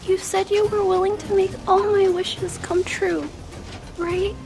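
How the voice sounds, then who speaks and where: A very high, slow-spoken little girl in a video game. She is timid and kindhearted, hesitant and earnest in every phrase, with music clearly playing behind her. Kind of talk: video game cutscene acting.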